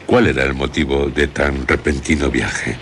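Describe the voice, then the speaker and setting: A man's voice: a bass voice, steady tone, moderate pace, slight background noise. An actor playing a grave, inquisitive man in a radio drama, speaking formally with a Castilian Spanish accent. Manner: formal, probing. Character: grave, inquisitive male listener questioning a young woman.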